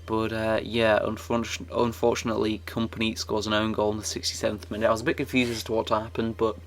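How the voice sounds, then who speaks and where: A young man giving casual, rambling commentary over his football game footage, his voice croaky and full of fillers and restarts. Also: lowish voice, monotone, fast talker. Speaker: gaming YouTuber.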